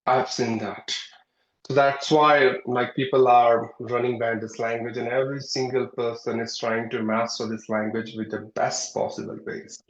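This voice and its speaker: A man's voice: steady tone, moderate pace. Indian man, Hindi native, earnest learner; roomy, slightly muffled recording. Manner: earnest, rises in pitch and loudness to stress points. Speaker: English learner and channel host. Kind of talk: conversational interview practice.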